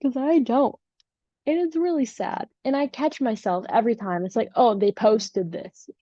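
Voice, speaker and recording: A woman's voice: fairly high pitch, moderate pace, dark tone, dry recording. Woman host with a Chicago accent, easygoing solo podcast chatter, recorded in a dry room on a slightly muffled mic. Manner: rambling and chatty, with many ums. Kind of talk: casual solo podcast chat. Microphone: home setup without usual equipment.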